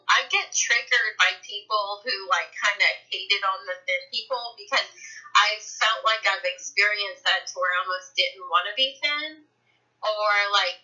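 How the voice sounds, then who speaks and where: Bright, halting woman in her thirties on a phone call. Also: mid-range voice. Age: 30s. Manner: halting, lots of "like" fillers. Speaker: client. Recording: dull lo-fi phone line.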